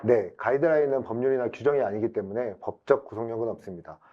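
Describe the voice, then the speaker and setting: A man's voice: medium pitch, quick pace, lo-fi sound. A man giving a formal, explanatory prepared webinar presentation as an IT specialist.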